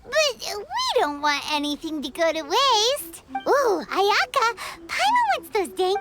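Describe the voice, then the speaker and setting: A woman voicing a tiny, excitable, food-loving fairy companion in a video game, with a squeaky childlike voice and exclamatory manner. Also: takes it slowly, loud music behind the voice, full character voice. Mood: eager, hungry. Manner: speaks of herself in third person, exclamatory. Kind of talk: video game character acting.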